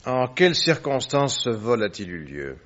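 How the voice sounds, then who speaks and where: An actor playing a calm, reserved detective in a vintage radio drama, speaking formally and deliberately. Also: male voice, medium pitch. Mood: measured. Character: calm, measured male detective. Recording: dull old radio recording, distant.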